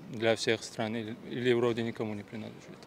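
A man's voice: medium pitch, little pitch movement, quick pace, creaky, a little hiss or hum. A man in his thirties answering a street quiz, wavering between answers. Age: 30s.

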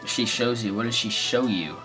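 A man's voice: on the low side, moderate pace, sharp tone, low-quality recording. A man with an American accent giving goofy, rambling let's play commentary full of sarcastic jokes. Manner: joking, rambling, sarcastic asides. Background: faint game music.

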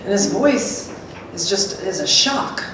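A woman's voice: mid-range voice, animated, takes it slowly, a bit bright. A woman, middle-aged, reading the part of a plain-spoken, chatty companion in a staged script reading. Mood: gossipy. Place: small hard-walled gallery room, indoors. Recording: very echoey room, distant, faint noise.

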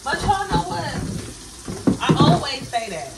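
A woman's voice: high-pitched, very animated, measured pace, heavy background noise. A woman, chatting casually to her viewers as she cooks at home. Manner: chatty, addresses viewers as y'all. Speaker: vlogger. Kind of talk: casual cook-with-me chat. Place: small home kitchen. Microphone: camera across the kitchen. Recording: roomy kitchen audio from a distant camera, running water.

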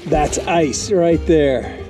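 A man's voice: fairly high pitch, extremely slow, music in the background. A man in his fifties narrating a casual outdoor travel vlog into a handheld action camera, easygoing and chuckling often. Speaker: travel vlogger. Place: outdoors on a glacier hike and campground.

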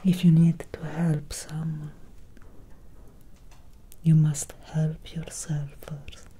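A young woman voicing a soothing, sly female Victorian vampire doctor in a hushed, intimate ASMR roleplay, close to the microphone. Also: deep voice, level tone, takes it slowly, a little hiss or hum. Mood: persuasive, reassuring. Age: young adult. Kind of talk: soft-spoken ASMR roleplay.

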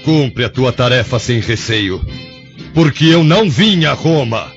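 A brave, fatherly apostle exhorts solemnly and calmly in a radio drama. His medium-pitched voice sits in dull audio with music behind it. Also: unhurried. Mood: solemn exhortation.

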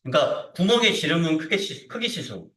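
A male instructor walks through a practical software lesson in a casual, checking-in way. His voice sits a little high and swings in lively rises and drops. It is picked up from a distance in a roomy, echoing space. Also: a little fast. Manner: informal, repeatedly checks understanding with short tag questions. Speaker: CAD instructor. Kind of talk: hands-on software tutorial lesson. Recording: roomy echo, distant microphone.

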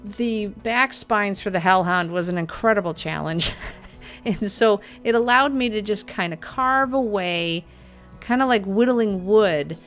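A woman's voice: medium pitch, unhurried, a bit bright, faint music behind. Chatty upbeat woman reviewing a tool. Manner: chatty and upbeat, laughs often, with frequent uh fillers. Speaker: prop maker reviewing a tool. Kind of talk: casual product review with voice-over. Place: home workshop studio, indoors.

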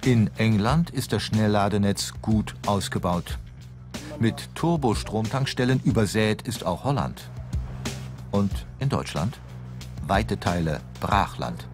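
German guy narrating a tv documentary, lowish voice, moves around a lot, music underneath. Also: lively intonation, takes it slowly. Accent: standard German from Germany. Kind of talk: scripted TV narration. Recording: music behind the voice. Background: background music.

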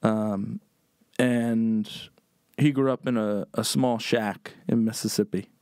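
A man's voice: fairly low pitch, fairly even intonation, slow, a little mellow, clear, resonant tone, no echo, studio quality. A man from Pennsylvania, a chef and podcast guest, telling family stories in a loose, rambling way with many fillers. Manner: rambling, many "um"s, laid-back storyteller. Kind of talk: casual storytelling.

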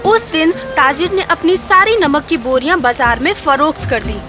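A woman's voice: sits high, lively intonation, music in the background. A woman reading a scripted children's story as the narrator.

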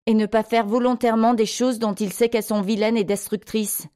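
Stern, furious woman scolding children, as voiced by a female narrator, raised pitch, commanding, audiobook drama. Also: level tone, a little fast, natural voice. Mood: indignant. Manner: sharp, commanding shouting.

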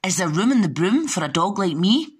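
A woman's voice: on the low side, big pitch swings, fairly quick, slightly rough, full character voice. A woman voicing an eager, polite dog in a children's storybook. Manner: eager, bright asking. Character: eager, polite male dog asking to join.

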